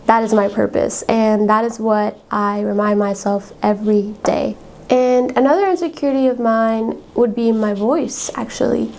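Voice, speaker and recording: Young female podcast host, high-pitched voice, candid confessional chat with lots of filler words. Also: fairly even intonation, moderate pace, vocal fry, a little mellow. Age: young adult. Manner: candid and chatty, fillers like "like" and "um", laughs often. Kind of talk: casual personal storytelling.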